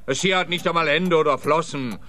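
Sharp-voiced, blustering dim policeman. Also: fairly high pitch, a little fast. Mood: indignant. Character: blustering, slow-witted, rambling male policeman. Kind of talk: radio play acting.

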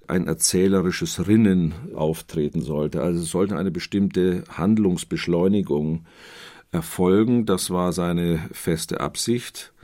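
A man giving explanatory literary commentary in deliberate, halting, formal phrases. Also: low voice, dark tone.

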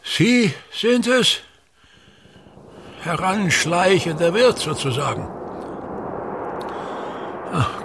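An actor playing a pompous, self-pitying aging actor-playwright in a radio drama, declaiming in brooding, halting fragments with grand scorn and a gravelly voice. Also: male voice, on the high side, fairly bright tone, full character voice. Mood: indignant, grand. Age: older. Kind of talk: theatrical radio drama monologue.

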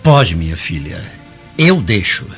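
A man's voice: sits low, big pitch swings, a little slow, fairly bright tone, heavily acted. An actor playing a kindly Roman uncle and father in a radio drama, courteous and coaxing. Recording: muffled radio drama, music behind.

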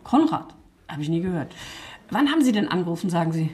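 A woman's voice: on the low side, sing-song, fast, warm tone. An actress playing a strict, officious female tax official in a radio drama. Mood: dismissive. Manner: curt, officious, ranting about tax injustice. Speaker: tax office clerk Frau Eckert.

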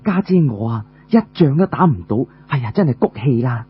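A man's voice: medium pitch, animated, a little fast, dull audio, a little hiss or hum, voice pushed down, close to an everyday voice. A man voicing a bold, restless young woman warrior, an exclaiming elder sister in an audiobook tale. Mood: frustrated.

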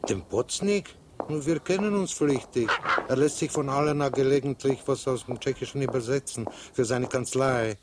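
Actor playing wry older Prague émigré, noisy recording. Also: male voice, medium pitch, normal speed, natural voice. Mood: flustered, puzzled. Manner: hesitant repetitions, wry, colloquial. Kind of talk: radio drama dialogue.

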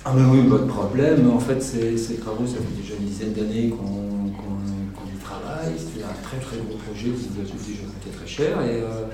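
A man's voice: fairly low pitch, moderate pace, hardly any pauses, natural voice. A man playing a boastful, hesitant engineer answering questions at a mock press conference in a radio fiction. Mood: hesitant, proud. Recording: roomy echo with faint noise.

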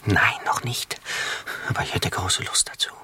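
An actor in a radio drama playing an eccentric, feverishly earnest gentleman detective, theatrical and deliberate in delivery. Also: in a whisper, lightly acted. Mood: hushed, urgent.

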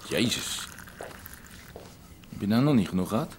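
An actor playing an impatient, cocksure gang member in a radio drama, brash and slangy. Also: male voice, on the low side, expressive, normal speed, slight background noise, natural voice. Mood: irritated. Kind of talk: naturalistic radio drama acting.

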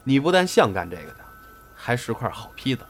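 A man's voice: mid-range voice, very animated, fairly quick, a little hiss or hum, lightly acted. A man playing a good-natured but blunt senior comedy apprentice in an audio drama, bantering. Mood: teasing. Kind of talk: audio drama acting, colloquial dialogue.

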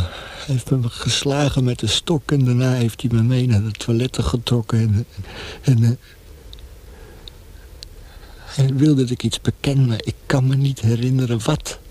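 An actor playing a feverish, tortured young male prisoner facing death in a radio drama, speaking haltingly with hushed passages. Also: medium pitch, slightly rough. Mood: pleading, feverish.

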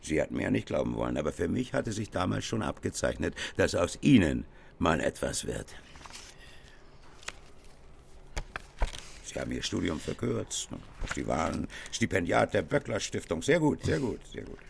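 An actor playing an older, pompous company boss in a radio play, praising in halting, repetitive business phrases. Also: male voice, low voice, sing-song, gravelly, slight background noise, exaggerated voice. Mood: patronizing, jovial.

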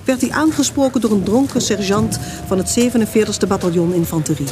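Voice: mid-range voice, fairly quick, loud music behind the voice. A narrator telling a scripted story in a radio drama.

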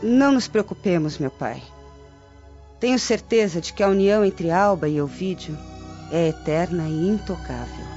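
An actress playing a concerned, dutiful Roman daughter of noble family, speaking in a measured, formal way in a radio drama. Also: female voice, fairly low pitch, animated, dark tone, close to an everyday voice. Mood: reassuring. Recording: dull lo-fi radio drama, music behind.

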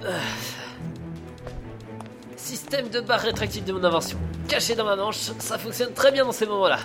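Un homme jouant un inspecteur fougueux. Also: male voice, high-pitched, moderate pace, natural voice. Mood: brash. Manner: shouts in action, hushed when sneaking. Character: brash inventive male police investigator. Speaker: police investigator. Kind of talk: French audio drama. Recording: long reverb, music behind.

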